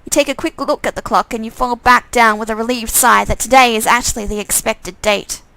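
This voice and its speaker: High, creaky-voiced woman reading aloud. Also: fairly even intonation, fairly quick, bright, forceful tone, no room sound. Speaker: narrator reading a visual novel. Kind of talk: live read-aloud narration with casual asides.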